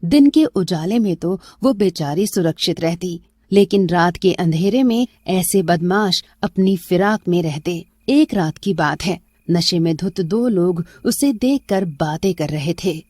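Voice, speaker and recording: A woman's voice: on the low side, animated, a little slow, darkish tone, dry recording, studio quality. A woman narrating a scripted story as a storyteller.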